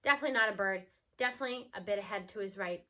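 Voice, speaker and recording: A woman narrating a children's story aloud in an expressive read-aloud style. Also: mid-range voice, rapid-fire.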